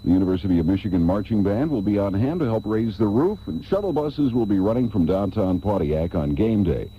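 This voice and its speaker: A man's voice: fairly low pitch, brisk. A man reading a promotional announcement as a radio announcer. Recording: dull old radio tape, faint hum.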